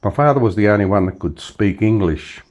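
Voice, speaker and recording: A man's voice: fairly low pitch, expressive, fairly quick, darkish tone. A man in his seventies from Western Australia with an Australian accent, telling stories of his childhood in a casual interview, rambling with many fillers and restarts. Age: 70s. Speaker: interview guest.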